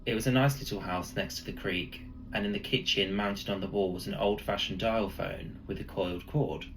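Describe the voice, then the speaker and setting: A man with a gravelly voice reading a spooky first-person story as a storyteller. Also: lowish voice, fairly even intonation, moderate pace, a bit bright, a little hiss or hum, rough recording. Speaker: storyteller, channel host.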